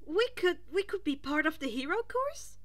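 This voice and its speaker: A woman voicing a nervous, self-doubting teenage boy in an audiobook, stuttering in a small, high voice. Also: big pitch swings, normal speed, a bit bright, heavily acted. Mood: amazed. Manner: stuttering, breathless hesitations.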